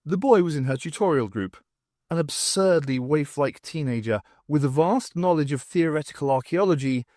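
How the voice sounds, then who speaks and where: A man's voice: mid-range voice, a little mellow, no echo, studio quality. A man narrating scripted fiction as an audiobook reader.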